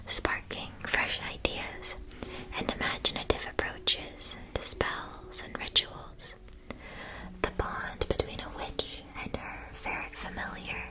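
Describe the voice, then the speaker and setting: A woman whispering an ASMR roleplay as a polite, attentive witch-school shopkeeper, explaining in measured, deliberate phrases. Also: close to an everyday voice, low-quality recording.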